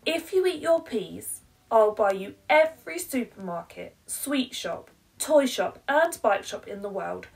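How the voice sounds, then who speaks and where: A woman's voice: a little high, lively intonation, unhurried, vocal fry, distant mic, voice pushed up, poor audio. A woman voicing an exasperated, pleading mum from a children's picture book, piling up promises emphatically to bargain with her child. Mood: desperate. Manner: breathless piled-up promises, emphatic.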